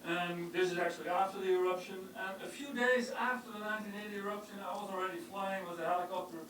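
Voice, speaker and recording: A man's voice: high-pitched, fairly even intonation, fast talker, a bit bright. Dutch-accented older man lecturing in an echoey hall. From Netherlands. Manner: wry, self-deprecating humor, with hesitant fillers. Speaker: university geochemistry professor giving a public talk. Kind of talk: informal public lecture with slides and jokes. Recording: echoey hall, mic far off, audible room noise.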